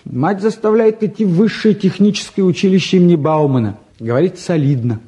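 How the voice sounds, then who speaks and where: A man acting a restless teenage lad in a radio play, with a fairly high, mellow voice. He grumbles in slang, sardonic and flippant about everything around him. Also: brisk, lightly acted. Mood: sardonic, frank. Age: young adult. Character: bored, restless, sardonic eighteen-year-old younger son. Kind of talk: naturalistic stage and radio drama acting.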